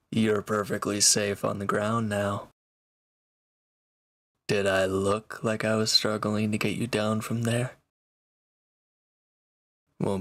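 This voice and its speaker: A man's voice: fairly low pitch, lightly acted. A man playing a sardonic, teasing reformed villain in an intimate one-sided roleplay audio drama, with dry, casual delivery. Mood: smug, teasing.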